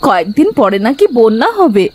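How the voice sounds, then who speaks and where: Woman acting a high-voiced, doting village mother. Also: sing-song, fairly quick. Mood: soothing. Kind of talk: cartoon story voice acting.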